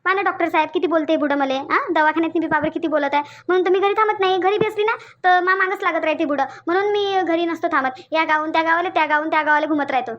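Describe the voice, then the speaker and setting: Lady doing stubborn old cartoon granny, varhadi marathi accent, high voice, talks fast, always grumbling. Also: lo-fi sound. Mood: complaining, pleading. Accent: Varhadi, Vidarbha Marathi. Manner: grumbling, rustic, repetitive. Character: stubborn, complaining old village grandmother, sick from heat. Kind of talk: cartoon dialogue.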